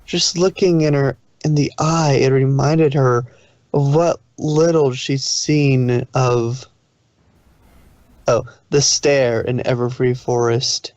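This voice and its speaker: A man reads fan fiction aloud in a medium-pitched voice, narrating the story and now and then tripping over the text. The recording is very dry and a little muffled. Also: steady tone, slow, darkish tone, no echo.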